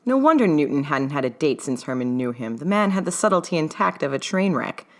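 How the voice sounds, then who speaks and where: A woman with a low-pitched voice narrates fiction from a script. She reads as the author of the story, in the manner of an audiobook. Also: very animated, fairly quick. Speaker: author reading own fan fiction.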